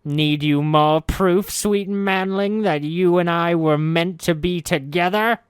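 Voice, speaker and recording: A man's voice: high voice, fairly even intonation, slow, clean tone, no room sound, studio quality, pitched above the performer's own voice. A man doing an ancient crooning hag-witch. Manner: crooning, cackling, imperious.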